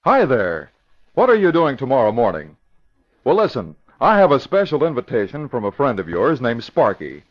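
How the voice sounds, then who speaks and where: A man's voice: medium pitch, big pitch swings, normal speed. A man announcing and narrating an old-time radio show with an emphatic, theatrical delivery. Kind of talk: 1940s radio announcing, dramatic scripted narration. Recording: dull vintage radio broadcast recording.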